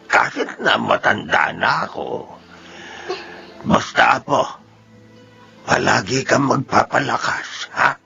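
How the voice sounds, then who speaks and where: An actor playing a doting, hardworking old grandfather in a Filipino radio drama, speaking slowly and tenderly with an aged, gravelly voice. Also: medium pitch, animated, bright, forceful tone, faint music behind, exaggerated voice. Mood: tender, worried. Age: older. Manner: slow, tender, fussing. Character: doting, hardworking old grandfather who sells homemade candy. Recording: dull, slightly noisy radio recording.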